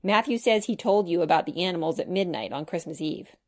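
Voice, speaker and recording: A woman's voice: lowish voice, fairly quick, a little mellow, lightly acted. An older-brother boy from a children's storybook, read by a woman. He sounds assured and considerate, thinking things over as he speaks. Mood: confident.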